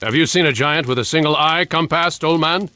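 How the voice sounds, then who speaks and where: An actor playing a resolute, curt warrior commander in a mythological video game cutscene. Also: male voice, a little high, brisk, pushed and bright. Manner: firm, curt.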